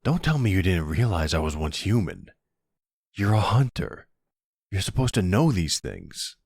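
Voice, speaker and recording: Man playing a gravelly, flirty male vampire. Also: sits low, big pitch swings, a little slow, a little mellow, dry recording, clean studio sound, full character voice. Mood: playful, smug.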